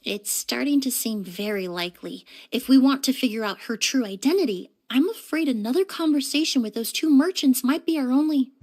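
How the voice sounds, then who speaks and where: An actress playing a composed, courteous young expert in a video game, speaking in a measured, precise and gentle way. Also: female voice, sits high. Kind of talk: game voice acting.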